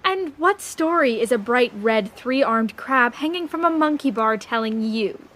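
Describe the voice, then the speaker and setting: A woman's voice: fairly high pitch, animated, a little slow, a bit bright. A woman playing a vain, snobbish young news reporter with a haughty, cutting manner in an audio drama. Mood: dismissive. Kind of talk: audio drama character acting.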